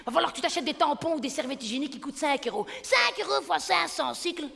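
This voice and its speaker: A woman in her thirties from Belgium doing stand-up comedy on a theater stage, punchy and energetic, acting out family members. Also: high voice, fast, gravelly, long reverb tail, faint music behind.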